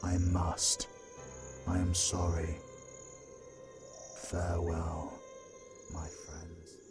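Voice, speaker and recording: A man acting a weary, remorseful ancient god in a fantasy audio drama, speaking solemnly and gravely. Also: sits low, completely flat delivery, very slow, over loud music. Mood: grave farewell. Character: weary, remorseful ancient male creator god turned demon hunter.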